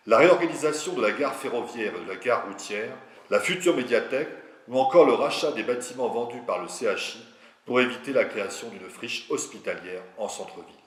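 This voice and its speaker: A man's voice: lowish voice, fairly bright tone. A man giving a prepared political address as a local politician, speaking in a formal, didactic manner. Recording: echoey room.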